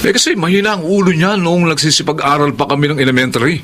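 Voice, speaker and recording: An actor playing a cocky, irritable small-time scammer and gambler husband in a radio drama. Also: male voice, fairly high pitch, fairly even intonation, quick pace, a bit bright, slight background noise, natural voice. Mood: boastful, resentful. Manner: boastful, defensive. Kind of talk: Tagalog radio drama acting.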